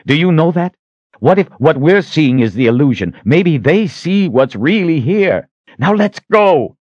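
Man acting an intense fantasy hero, raised pitch. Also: big pitch swings, unhurried, a little mellow, dry recording, dull audio. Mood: urgent, persuading. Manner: intense, insistent. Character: urgent, determined man, hero of a fantasy tale.

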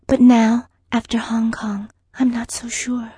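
An actress plays a poised Cockney woman of the screen, pert and challenging. Her voice sits fairly high in a dry recording, as dialogue in a dramatized novel. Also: level tone, measured pace, dark tone, husky, no echo. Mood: hesitant. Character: poised film actress, pert and skeptical, secret-order member.

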